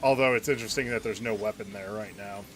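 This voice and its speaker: A man's voice: lowish voice, fast talker, a little hiss or hum. A man giving casual gameplay commentary, with wry, offhand asides. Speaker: game streamer.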